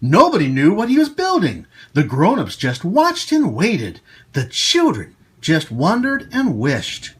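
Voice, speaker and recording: A man's voice: high-pitched, huge pitch swings, measured pace, few pauses, fairly bright tone, breathy edge. A man hosting a children's story time, reading aloud expressively and speaking directly to young listeners with exclamations. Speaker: children's storytime host and reader.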